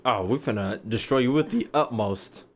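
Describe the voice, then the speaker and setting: A man's voice: mid-range voice, moderate pace. A young adult American man giving casual, joking, profane commentary as he streams a game. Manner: slangy, profane, joking, repeats lines back. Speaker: gaming streamer. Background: faint game music.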